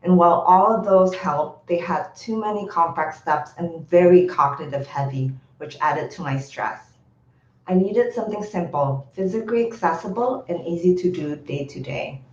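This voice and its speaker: Indonesian-born woman doing a practiced startup pitch, lowish voice, roomy muffled call audio. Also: moderate pace, darkish tone. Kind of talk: rehearsed business pitch.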